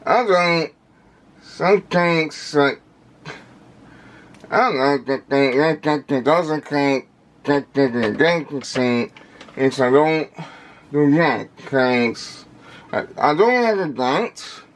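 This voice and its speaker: A man talking casually and unscripted in an unboxing video, with halting, indistinct speech and frequently repeated words. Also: a little high, lively intonation. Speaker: vlogger unboxing audio gear.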